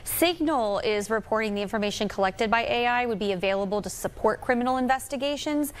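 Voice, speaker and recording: A woman's voice: a little high, brisk. A woman with an American accent, speaking as a news anchor in a broadcast news interview style.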